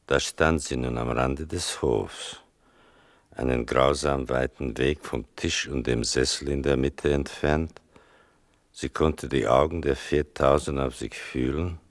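A man playing a grave war veteran narrating his memories in a radio drama, deliberate and measured, with a gravelly voice. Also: extremely low pitch, no echo, clean studio sound, full character voice. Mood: solemn, tender. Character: grave male war veteran recalling his mother and wartime.

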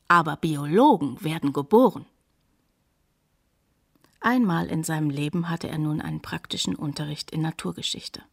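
A reflective daughter narrating radio drama: female, lowish, gravelly edge, measured storytelling. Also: full character voice. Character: reflective grown daughter recounting her father's life. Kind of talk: scripted narration in a German radio play.

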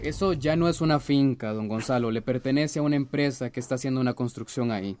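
A man's voice: mid-range voice, fast talker, darkish tone, close to an everyday voice. A man playing a polite, apologetic young police officer with a Central American accent, speaking formally and hesitantly in a radio drama. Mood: apologetic, firm. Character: polite, apologetic local police officer bearing bad news.

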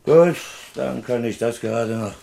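Weary policeman husband, medium-pitched. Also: unhurried, vocal fry, dark tone. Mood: tired. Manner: homely, colloquial, grumbling. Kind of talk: German radio play acting.

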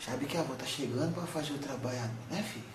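A man's voice: medium pitch, fast, reverberant, very noisy, lightly acted. A man speaking as a wise, tender old grandmother spirit in a spiritual counselling session, soothing and calling the listener son again and again. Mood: gentle. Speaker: spirit medium.

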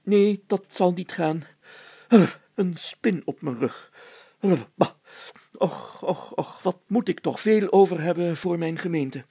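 A man voicing a pompous, fussy, excitable town mayor in a story, exclaiming and stammering when flustered. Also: sits high, slow, slightly rough, no room sound, exaggerated voice. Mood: squeamish panic.

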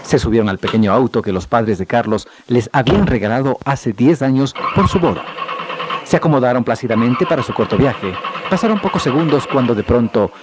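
A man narrating a scripted radio drama in a deliberate, theatrical storytelling manner. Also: medium pitch, animated. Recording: noisy 1990s radio drama, street sounds behind.

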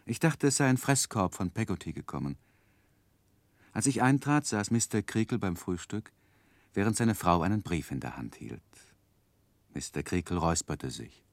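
An actor playing a grown man who soberly recalls his childhood, narrating a 1960s radio play in a measured, reflective way. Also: sits low, sing-song, no echo. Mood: wistful, reflective.

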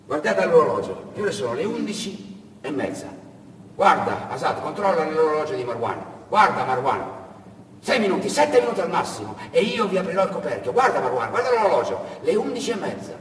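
Casting: brash male smuggler trucker, played by a stage storyteller, bossy, grandiose, pitched up, in a distant hall. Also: big pitch swings, brisk. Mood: grand, cajoling. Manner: insistent, loud, cajoling. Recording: echoey hall, far from mic, noisy.

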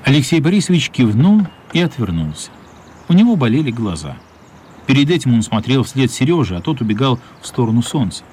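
A man's voice: medium pitch, fairly quick. A man narrating a radio play as scripted, dramatic storytelling. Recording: light steady background noise.

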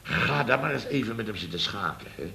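An actor playing a blustering, indignant boss of a building firm in a Dutch radio comedy, exclaiming and grumbling. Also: male voice, fairly low pitch, animated, fairly quick, vocal fry, bright, forceful tone, heavily acted. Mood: scornful grumbling. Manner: exclamatory, grumbling, tags sentences with "hè" and "zeg".

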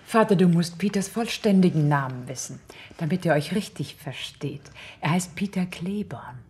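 An actress playing a polite, attentive grown daughter in a radio drama. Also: female voice, fairly low pitch, lively intonation, moderate pace, darkish tone, lightly acted. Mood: polite, soft.

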